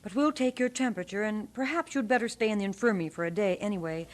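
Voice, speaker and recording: An actress playing a calm, kindly college nurse with a reassuring manner, in a radio drama. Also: female voice, medium pitch, fast talker, lightly acted.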